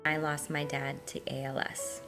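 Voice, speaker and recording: A woman's voice: a bass voice, flat delivery, normal speed, music in the background. A woman in her twenties, speaking emotionally and emphatically in a documentary interview. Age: 20s.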